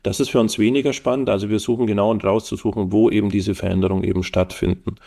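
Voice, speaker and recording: A man's voice: on the low side, fairly even intonation, fast, vocal fry, warm tone. A middle-aged man from the Allgäu, a podcast guest explaining his research thoughtfully with frequent fillers. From Allgäu, Germany. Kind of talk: podcast interview.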